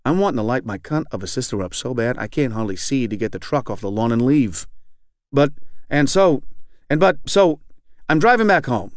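A man's voice: medium pitch, lively intonation, moderate pace, no echo, studio quality, close to an everyday voice. A man reading aloud as an angry, profane middle-aged man in recovery, rambling and repeating himself. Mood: bitter, heated. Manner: rambling, profane, keeps asking "you know what I'm saying". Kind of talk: reading aloud a fictional monologue.

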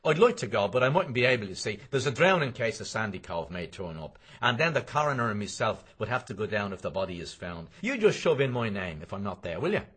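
An eager, breezy middle-aged talker from a spoken storybook, done by a man reading aloud. The voice jumps up high and pushes louder. It stays husky and rough underneath. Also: very animated, fast talker, hardly any pauses, heavily acted. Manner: bright, eager and pushy.

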